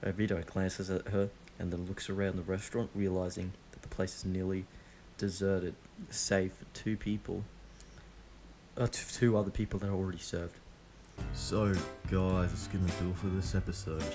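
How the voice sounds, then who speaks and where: A man's voice: low-pitched, flat delivery, normal speed, a little mellow, faint music behind, low-quality recording. A man reading fanfiction aloud with frequent slips and restarts, then chatting casually about it. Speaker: fanfiction reader.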